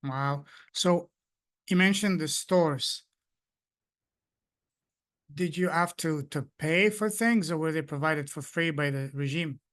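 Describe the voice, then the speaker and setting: A man's voice: a little high, slow, long pauses, a bit bright. A man from Afghanistan hosting an interview podcast, asking questions and giving short, attentive acknowledgements. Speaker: podcast host.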